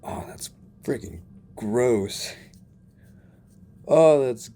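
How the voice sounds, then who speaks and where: A game streamer giving casual, expressive, exclamatory reactions to a story. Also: medium pitch, extremely slow, a little hiss or hum. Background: game music.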